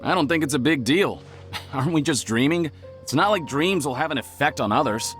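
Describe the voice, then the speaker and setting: A man's voice: fairly high pitch, lively intonation, fairly quick, fairly bright tone. A man playing an ordinary villager in a video game, plain-spoken, wistful and politely insistent. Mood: dismissive. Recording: music under the voice.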